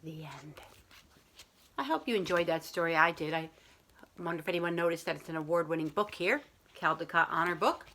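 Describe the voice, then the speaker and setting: A woman's voice: fairly low pitch, a little fast, bright, forceful tone, slight background noise. A woman reading a picture book aloud as a school librarian, talking to young children in simple, explanatory phrasing.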